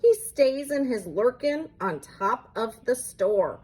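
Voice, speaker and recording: A woman reading a children's storybook aloud in an expressive, dramatic and deliberate way. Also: fairly high pitch, slightly rough, rough recording.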